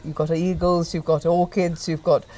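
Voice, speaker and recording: An older woman, a hotel owner, talks in a measured, chatty way with frequent hesitations and restarts while showing a guest around. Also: low-pitched, level tone, warm tone, soft background music. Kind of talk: casual tour and interview. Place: outdoors and indoors at a country hotel. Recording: faint background noise.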